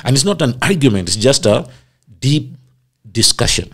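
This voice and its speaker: A man's voice: mid-range voice, lively intonation, moderate pace, breathy edge. A middle-aged man, an actor, talking expansively in a casual interview and acting out the voices of people he quotes. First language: not Swahili.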